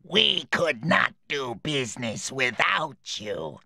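A creaky, oily scheming merchant. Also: male voice, medium pitch, big pitch swings, slow pace, sharp tone, no echo, clean studio sound, exaggerated voice. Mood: ingratiating. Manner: obsequious. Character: oily, scheming male merchant, flattering and greedy. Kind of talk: video game cutscene acting.

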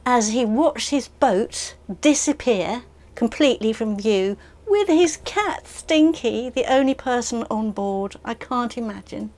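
A woman's voice: a little high, animated, measured pace, darkish tone. An older woman co-hosting a sailing channel, adding sympathetic comments to the story. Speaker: channel co-host.

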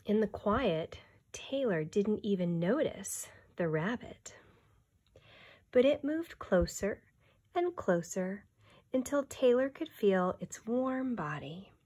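A woman in her thirties, a teacher giving an expressive, deliberate read-aloud of a children's picture book. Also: medium pitch, husky.

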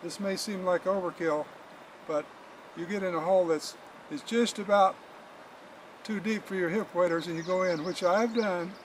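An older man giving a casual how-to demonstration as a fishing guide, folksy and halting, often repeating words, recorded outdoors from a few metres away. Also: high-pitched, fairly even intonation, poor audio. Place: outdoors at a roadside in the country, by a car. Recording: clear outdoor background noise.

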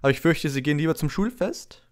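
A man voicing a courteous, pompous, excitable old ghost in a storybook, speaking formally and eagerly. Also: on the high side, fast, pitched above the performer's own voice, close to an everyday voice. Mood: dignified, proud.

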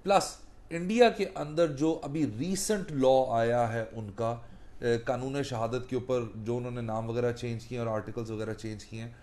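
A man in his thirties with a Pakistani accent, explaining emphatically like a lawyer giving a lecture, deliberate when he switches into English. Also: medium pitch, steady tone, darkish tone. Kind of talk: explanatory talk mixing Urdu and English. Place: small book-lined law office.

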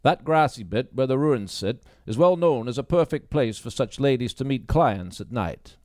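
A man's voice: medium pitch, lively intonation, moderate pace, a little mellow, no room sound, clean studio sound, lightly acted. Brusque, dismissive wartime policeman, read by a man. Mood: matter-of-fact. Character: dismissive, matter-of-fact policeman in wartime northern England.

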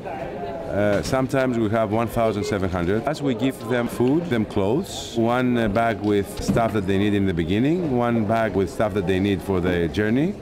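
A man coordinating an aid center, plainly listing facts with many fillers in an interview. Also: lowish voice. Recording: outdoors with crowd noise.

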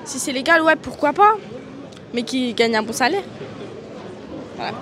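A woman's voice: high-pitched, animated, normal speed, fairly bright tone. Young woman on a busy street. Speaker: passerby interviewee. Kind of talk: casual street chat. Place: outdoor city square. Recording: busy street crowd noise.

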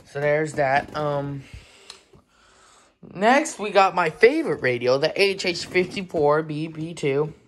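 Someone doing a casual halting show and tell at home on a phone, bit of noise and clicking. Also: mid-range voice, expressive. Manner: informal, halting, off-the-cuff. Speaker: collector presenting weather radios. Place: indoors in a home room. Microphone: handheld phone camera. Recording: noisy handheld recording with clicks.

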